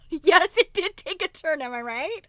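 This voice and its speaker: A woman hosting a live book reading, reading aloud with expressive, giggly, chatty asides. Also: extremely high pitch, normal speed, no room sound. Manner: giggly, reacts expressively to what is read.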